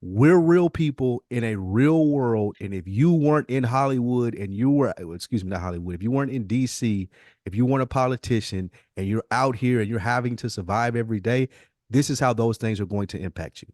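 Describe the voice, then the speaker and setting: A man talking as a podcast host in casual, slangy, profane and animated hip hop conversation. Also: mid-range voice, brisk, dry recording, clean studio sound.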